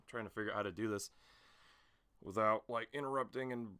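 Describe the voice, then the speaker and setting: A man's voice: fairly low pitch, moderate pace, pushed and bright, clear, resonant tone. A man chatting casually to camera as an amateur voice actor, rambling in a hesitant, self-conscious way. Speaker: amateur voice actor recording an audition video. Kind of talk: casual vlog chat. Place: small home room with a mattress against the door.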